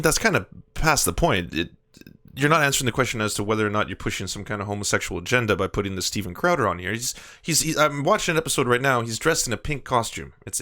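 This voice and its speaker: A man's voice: mid-range voice, expressive, quick pace, pushed and bright. A man making a prank phone call, complaining with earnest, indignant insistence. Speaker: prank caller posing as churchgoing parent.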